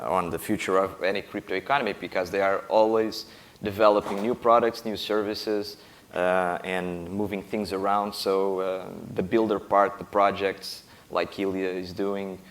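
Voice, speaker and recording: A man from Portugal moderating a conference panel, asking questions with frequent pauses. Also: low voice, steady tone, fairly bright tone. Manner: measured, questioning, frequent filler uhs.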